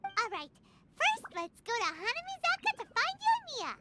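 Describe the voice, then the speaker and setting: A woman voicing a tiny, childlike, excitable fairy companion in an anime game, with a squeaky, cute delivery. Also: normal speed, slight background noise, heavily acted. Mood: eager. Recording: music loud behind the voice. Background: loud background music.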